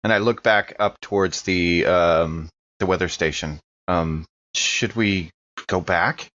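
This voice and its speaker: A man's voice: deep voice, slow, fairly bright tone. A man casually narrating his character's actions in a tabletop roleplaying game, piecing them together hesitantly. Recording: slightly dull, lo-fi sound.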